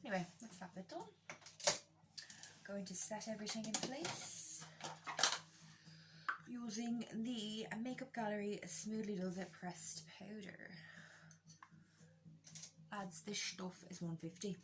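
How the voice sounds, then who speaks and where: A woman's voice: medium pitch, takes it slowly, long pauses, heavy background noise. An Irish woman chatting casually through a makeup tutorial, candid, joking and self-deprecating, with a bit of swearing. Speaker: beauty vlogger doing a makeup tutorial.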